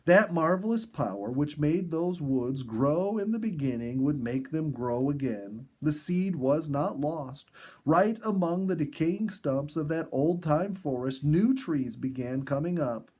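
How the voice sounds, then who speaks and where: A man narrating a story in an earnest, dramatic storybook style with emphatic pauses. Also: fairly high pitch, expressive, darkish tone, slightly rough. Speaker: audiobook narrator.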